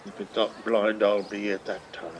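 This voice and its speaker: A man's voice: fairly low pitch, flat delivery, normal speed, a little hiss or hum, natural voice. An actor playing a bitter old blind Irish beggar man in a radio play, declaiming in lilting dialect. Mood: bitter, cursing. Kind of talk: theatrical radio play acting.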